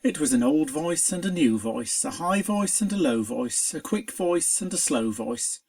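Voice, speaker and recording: A narrator reading a scripted story aloud in an expressive, dramatic storytelling manner. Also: mid-range voice, moderate pace, vocal fry. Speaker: fan fiction reader.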